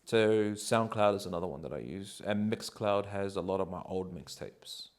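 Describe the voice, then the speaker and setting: Relaxed New man in his thirties. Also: low voice, moderate pace. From New Zealand. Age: 30s. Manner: relaxed, rambling, many ums and likes. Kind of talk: casual interview answers.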